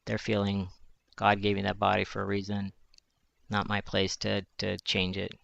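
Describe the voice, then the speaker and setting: A man in his forties with a fairly high voice for a man, speaking thoughtfully in a reflective personal monologue, pausing and restarting as he searches for words. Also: monotone, gravelly, a bit bright, muffled recording. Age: 40s. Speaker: journalist.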